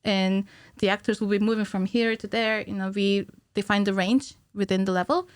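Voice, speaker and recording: Woman explaining haltingly, dry studio sound. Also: medium pitch, fairly even intonation, clear, resonant tone, dry recording. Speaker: podcast guest, cinematic animator. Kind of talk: conversational technical explanation in an interview. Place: small indoor podcast studio. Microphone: studio microphone on a stand.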